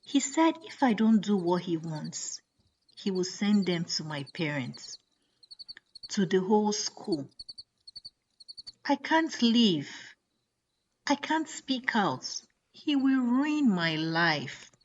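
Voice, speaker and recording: Woman doing a scared teenage schoolgirl from a story, halting and tearful, slow, faint birds behind. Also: medium pitch, very animated, slight background noise. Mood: frightened, despairing. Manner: halting, tearful, secretive pleading. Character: frightened, trapped older schoolgirl confiding in secret.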